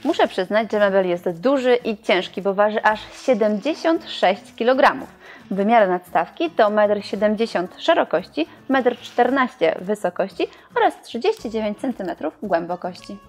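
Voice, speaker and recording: A young woman presenting and reviewing furniture in a showroom, in a clear, explanatory scripted delivery. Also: medium pitch, lively intonation, moderate pace, faint music behind.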